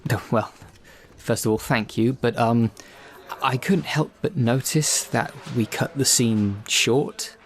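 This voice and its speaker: A man's voice: mid-range voice, takes it slowly, a little hiss or hum. A man playing an earnest, disgruntled actor who is fussy about his craft, in a conversational audio drama. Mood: hesitant, nitpicking. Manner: hesitant politeness turning to exasperated complaint.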